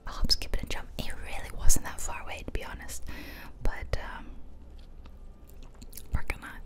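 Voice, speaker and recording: Whispering woman, ASMR game commentary. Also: moderate pace. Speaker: host of an ASMR gaming channel. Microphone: close ear-to-ear ASMR microphone.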